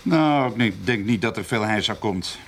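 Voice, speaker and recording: An actor playing a self-assured village notary in a Dutch radio play, explaining things in a measured way. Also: male voice, on the low side, lively intonation, slight background noise. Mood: assured, matter-of-fact.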